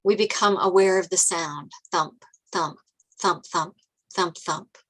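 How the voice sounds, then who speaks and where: A woman's voice: fairly low pitch, takes it slowly, fairly bright tone, breathy edge, poor audio. A woman reading stage directions as narrator at a script table read.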